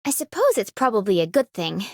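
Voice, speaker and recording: A woman's voice: medium pitch, sing-song, fairly quick, no room sound, clean studio sound. A woman voicing a blunt, simple-hearted, plain-spoken female video game character. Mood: candid, content.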